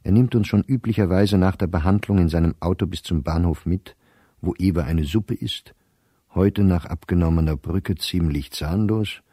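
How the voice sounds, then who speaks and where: An actor playing a weary middle-aged professor reading his private diary in a radio play, measured and reflective. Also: low voice, no room sound, clean studio sound. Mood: sober. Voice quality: dark, husky.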